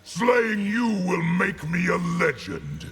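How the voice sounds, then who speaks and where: A man voicing a proud, boastful warrior monster in a video game, with a rough, gravelly voice. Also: high-pitched, wildly swooping pitch, slow pace, fairly bright tone, soft background music, heavily acted. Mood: threatening.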